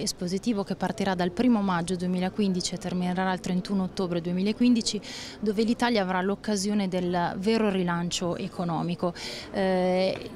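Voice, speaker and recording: A woman gives formal, measured interview answers as a marketing director, speaking into a handheld microphone outdoors. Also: medium pitch, a little mellow, a little hiss or hum. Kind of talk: on-camera interview answers, promotional explanation. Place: outdoor courtyard. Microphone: handheld reporter microphone.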